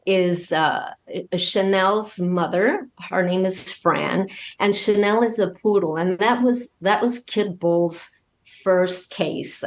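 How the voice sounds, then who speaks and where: A woman speaking as an interview guest, explaining things casually with hesitant phrasing. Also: mid-range voice. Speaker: guest, voice actor and scriptwriter.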